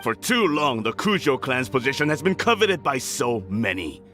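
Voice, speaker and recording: An actor playing a proud, scheming older clan lord in a video game drama, speaking in a formal, haughty, theatrical manner. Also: male voice, medium pitch, very animated, normal speed, sharp tone, soft background music. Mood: smug.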